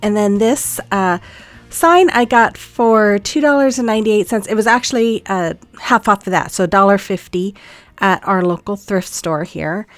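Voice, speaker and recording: A woman's voice: medium pitch, normal speed, no echo, faint music behind. An older woman, a grandmother, narrating her craft project in a chatty, homey voice-over, a little sniffly from a cold. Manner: chatty, homey, many "um"s and small laughs. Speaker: craft vlogger.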